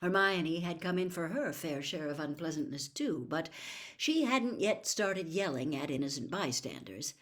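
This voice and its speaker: A woman reading a children's fantasy novel aloud as an expressive storybook narrator. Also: low voice, normal speed. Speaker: audiobook reader.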